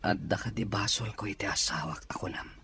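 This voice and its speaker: A man playing a confused young husband with memory loss, talking in a soft breathy whisper. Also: fairly low pitch, fairly quick. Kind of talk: amateur audio drama acting.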